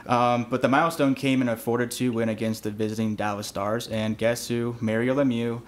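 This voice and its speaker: Guy co-hosting a sports podcast, medium pitch, slight noise in background. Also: level tone, a little fast. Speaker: podcast co-host. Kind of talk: casual sports segment.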